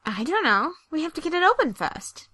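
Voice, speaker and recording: A woman's voice: high voice, sing-song, a little fast, sharp tone, no room sound, full character voice. A woman voicing an eager, bookish young female pony in an audio drama, earnest and easily flustered. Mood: earnest, explaining. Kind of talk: animated audio drama acting.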